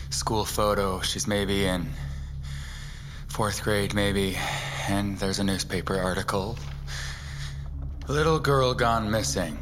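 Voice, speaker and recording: An actor playing a shaken, disgusted appraiser in an audio drama, halting and repeating himself, often dropping to a hush. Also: male voice, lowish voice, background noise. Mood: numb, drained. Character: shaken, disgusted professional appraiser, unravelling as he talks. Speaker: appraiser examining an exhibit. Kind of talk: audio fiction monologue. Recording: music under the voice.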